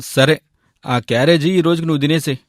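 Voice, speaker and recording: Man acting fairly high-voiced food-loving young husband. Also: steady tone, quick pace, no room sound, studio quality, lightly acted. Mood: grumbling. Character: food-loving young husband and shopkeeper, grumbling yet tactful. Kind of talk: animated moral story dialogue.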